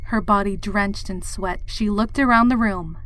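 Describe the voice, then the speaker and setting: A woman's voice: mid-range voice, normal speed. A woman reading a horror story aloud as a scripted, dramatic narrator. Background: background music.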